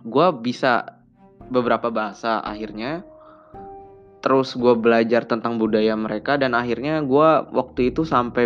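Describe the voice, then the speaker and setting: A young man from Bandung chats casually on a podcast episode, slangy and relaxed, now and then jumping higher to play out his childhood conversations. His voice sits at a middle pitch, and the audio is a bit dull. Soft music plays faintly underneath. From Bandung, West Java, Indonesia. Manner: chatty Jakarta slang, acts out childhood dialogue in raised voices. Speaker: podcast host. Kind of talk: casual personal storytelling.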